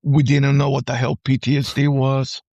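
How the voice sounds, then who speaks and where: A man's voice: medium pitch, level tone, creaky, darkish tone, no echo. A man in his forties from Puerto Rico talks casually in a mid-range voice. He restarts and repeats words, often seeking agreement. The sound is dry and a little lo-fi. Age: 40s. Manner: halting, repeats words, often checks with "right?". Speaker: podcast guest, army veteran. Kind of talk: casual personal conversation.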